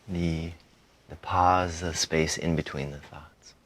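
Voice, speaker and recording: A man guiding a meditation in a calm, soothing manner, giving soft instructions in short phrases with frequent pauses. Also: rumbling low. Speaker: meditation guide. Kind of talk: guided meditation, softly spoken instruction.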